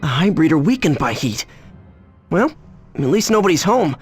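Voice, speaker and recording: An actor voicing a brave, wisecracking teenage boy hero in a video game, determined and quick with a joke. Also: fairly high pitch, sing-song, breathy edge. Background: music.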